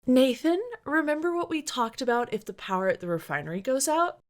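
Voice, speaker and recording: A woman's voice: high voice, big pitch swings, fairly quick, voice pushed up. A woman voicing an urgent, protective mother talking to her young son in a story read aloud. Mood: coaxing.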